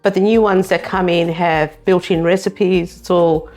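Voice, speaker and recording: South African woman, middle-aged, lower voice, demonstrates a recipe while chatting, light music behind. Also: steady tone, a little slow, darkish tone. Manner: chatty, explains steps as she works. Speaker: cooking show co-host. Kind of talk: casual cooking demonstration.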